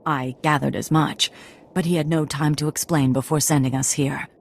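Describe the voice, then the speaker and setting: A serious Jedi teacher in a video game cutscene. Her voice is deep for a woman, and she speaks in a formal, calm, measured way. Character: composed, serious female Jedi master, teacher of padawans.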